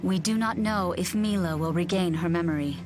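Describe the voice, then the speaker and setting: An actress playing a solemn, dignified, loyal female elemental spirit in a video game, speaking formally and with measured phrasing. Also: on the low side, over music, lightly acted. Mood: resolute. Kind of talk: dramatic video game voice acting.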